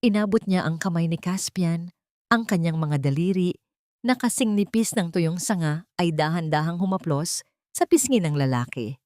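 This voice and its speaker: Female narrator for melodramatic love stories: lowish register, solemn read, dry recording. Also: animated, normal speed, darkish tone, no room sound. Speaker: storyteller. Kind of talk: scripted dramatic Tagalog love-story narration.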